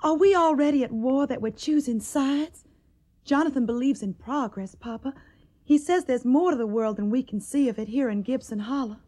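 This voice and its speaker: An actress playing an earnest, devoted young Tennessee daughter in a radio drama, with a soft, breathy, tender way of pleading. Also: female voice, high-pitched, normal speed. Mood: defensive.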